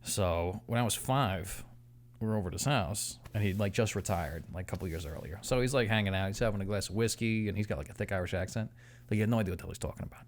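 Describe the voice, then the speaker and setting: Podcast guest, male, rapid-fire storyteller with a lower voice, laughs a lot. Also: slight background noise. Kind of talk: casual chat. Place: podcast studio.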